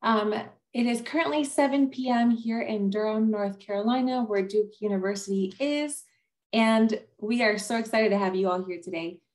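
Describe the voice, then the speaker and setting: A woman's voice: a little high, moderate pace, darkish tone. A woman admissions officer hosting an online webinar over a video call, friendly and dotted with "um" fillers.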